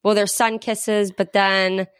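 A woman's voice: medium pitch, level tone, slow, clear, resonant tone, no room sound, clean studio sound. A woman co-hosting an interview who chimes in enthusiastically in a loose, conversational way. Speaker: co-host. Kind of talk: casual interview chat.

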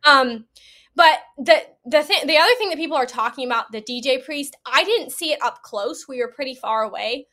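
A woman's voice: high voice, animated, a little fast, pushed and bright. A woman giving a personal account as an interview guest, earnest, conversational and emphatic. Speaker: podcast guest, event attendee.

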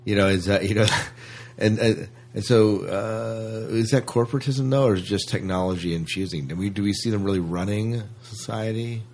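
A man's voice: on the low side, moderate pace, few pauses, darkish tone. A man co-hosting a podcast in casual conversation, thinking aloud and posing questions. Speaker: podcast co-host. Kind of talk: casual chat discussion.

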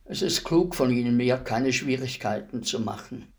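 An actor playing a polite, businesslike state executioner in a German radio play, formal and matter-of-fact. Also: male voice, medium pitch, normal speed, natural voice. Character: polite state executioner, businesslike, unruffled, deferential hired killer.

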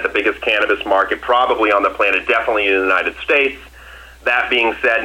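A man's voice: lowish voice, sharp tone, poor audio. A man from Massachusetts, a company executive answering questions on an earnings call in a confident, emphatic way, often restarting and repeating phrases.

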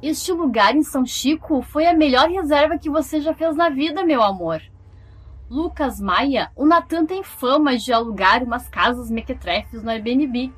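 High, husky breezy Brazilian woman. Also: measured pace. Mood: cheerful, teasing. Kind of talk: audio drama acting.

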